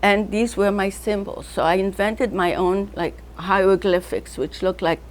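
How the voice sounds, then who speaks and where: A woman's voice: medium pitch, steady tone, normal speed. An older woman artist, born in North Africa, reminiscing in a casual interview, drifting loosely from one name and memory to the next and often laughing through her stories. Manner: rambling, loose storytelling that drifts between names and memories.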